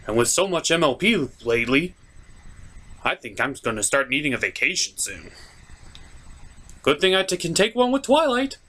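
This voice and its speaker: A man's voice: on the high side, big pitch swings, moderate pace, long pauses, bright, forceful tone. A man hosting a comedic cartoon review, narrating casually in a sarcastic, irreverent way. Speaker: host of a cartoon review show.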